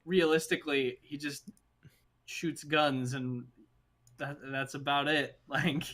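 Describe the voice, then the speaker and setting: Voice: medium pitch, steady tone, slow pace, fairly bright tone. A podcast co-host in a casual movie chat, speaking enthusiastically with lots of slang.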